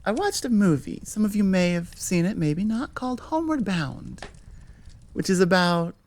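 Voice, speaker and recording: A woman's voice: medium pitch, big pitch swings, moderate pace, a little mellow. An American woman from Nevada chatting informally as an audio creator in a rambling, self-correcting outro. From Nevada, United States. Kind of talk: casual rambling outro chat.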